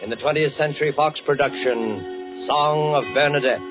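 A man hosting a 1940s radio suspense series, reading scripted announcements in a measured, portentous way. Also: on the high side. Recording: muffled vintage 1940s radio broadcast. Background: music.

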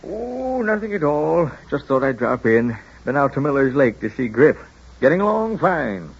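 Folksy country doctor, genial and wry, animated husky voice, muffled vintage radio recording. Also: male voice, fairly high pitch, normal speed, exaggerated voice. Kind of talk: 1940s radio acting.

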